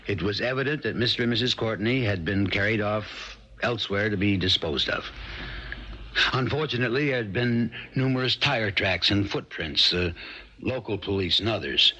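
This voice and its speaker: An actor playing a plain-spoken small-town sheriff in a 1970s radio drama, folksy and unhurried. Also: male voice, lowish voice, animated, bright, forceful tone, husky, full character voice. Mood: measured, insistent. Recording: dull vintage radio recording, music behind.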